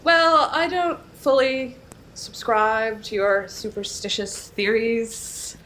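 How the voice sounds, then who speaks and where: A woman's voice: a little high, steady tone, slow pace, noisy background. A woman chatting casually as a player at a tabletop game.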